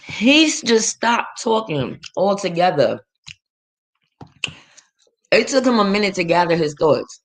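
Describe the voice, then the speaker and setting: A woman with a fairly deep voice, speaking. She hosts a livestream and reads the chat aloud. Her talk is blunt and profane, with frequent laughter, and her pitch sits in a middle range. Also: very animated, slow pace, pauses often, rough recording. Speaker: livestream host reading chat. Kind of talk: casual livestream rant.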